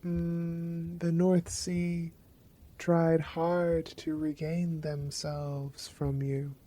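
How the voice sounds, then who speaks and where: An actor voicing the sea as an ancient, reproachful being in a radio play, speaking in measured, halting phrases. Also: mid-range voice, flat delivery, dark tone. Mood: reproachful, calm. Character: the Irish Sea, ancient, slow, reproachful body of water.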